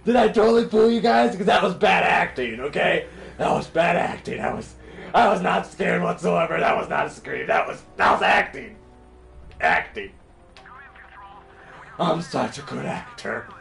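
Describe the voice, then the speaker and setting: A man voicing a boastful, crude, dim-witted parody Batman in comic game commentary, with a forced gravelly voice that breaks into shrill yells. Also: animated, slow pace, pauses often, soft background music, full character voice. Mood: defensive boasting. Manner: bragging, sweary, childish, theatrical. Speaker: gaming video performer in character. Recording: roomy echo with light background noise.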